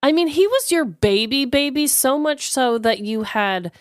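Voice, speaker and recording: A woman co-hosting a podcast, telling personal stories in an expressive, giggly, casual way with some swearing. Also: sits high, a little slow, clear, resonant tone, no room sound, studio quality. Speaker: podcast co-host. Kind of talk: casual chat, animated personal storytelling.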